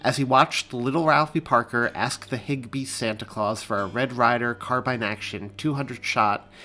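A man hosting a podcast and reading his own story as expressive, deliberate narration. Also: mid-range voice, faint music behind. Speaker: podcast host and author reading. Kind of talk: scripted narration, audiobook-style storytelling.

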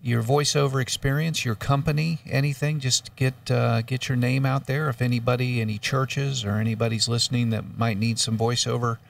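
A man co-hosting a talk show who chats in a relaxed, joking way with many fillers. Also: mid-range voice, moderate pace, vocal fry, few pauses, recorded from a distance. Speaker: radio show co-host. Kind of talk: casual talk show chat.

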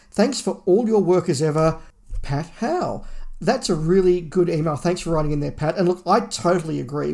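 A man co-hosting a fan podcast, chatting casually and reading listener email in a measured, conversational way. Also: fairly high pitch, gravelly, a little mellow. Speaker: podcast co-host.

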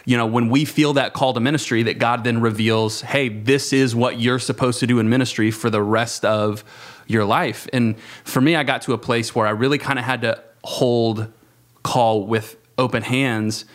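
Thoughtful man in his thirties, halting phrasing. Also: mid-range voice, fairly even intonation. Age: 30s. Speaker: youth ministry worker. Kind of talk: reflective panel discussion.

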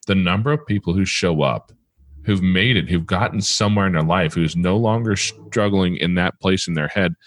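Midwestern male podcast host, very low voice, dry close sound, chatty and meandering. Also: dry recording. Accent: Midwestern American. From American Midwest. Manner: rambling, restarts often. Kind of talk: casual interview chat.

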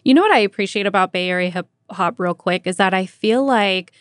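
A woman's voice: medium pitch, a little fast, no room sound, studio quality. An American woman working as a radio host, chatting informally in a casual interview and often dropping in "like" and "you know".

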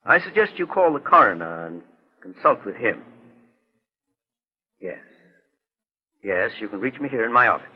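An actor playing a calm, mature male private investigator in a 1940s radio drama, speaking in a measured, earnest, authoritative way. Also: medium pitch, slightly rough. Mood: brisk, purposeful. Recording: muffled vintage 1940s radio recording.

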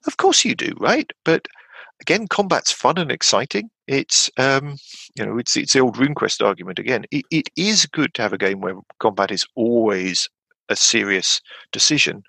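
A man in his fifties chatting casually about tabletop games, rambling with lots of fillers and restarts and sudden animated jumps in pitch. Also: gravelly, slightly rough, dry recording. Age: 50s. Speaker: podcast guest.

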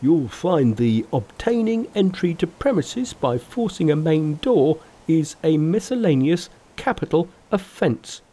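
A narrator voicing a rough, indignant male pig monster in a storybook reading. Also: mid-range voice, big pitch swings, slow pace, dark tone, voice pushed up, exaggerated voice. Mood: outraged.

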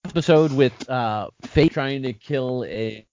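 A man co-hosting a podcast in casual chat, joking, animated, often restarting his sentences. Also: mid-range voice, takes it slowly, no echo. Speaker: podcast co-host. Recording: dry, dull lo-fi sound.

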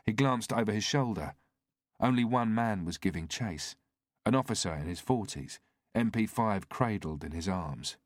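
A man's voice: lowish voice, animated, moderate pace, dry recording, studio quality. A man reading a scripted thriller as an audiobook narrator.

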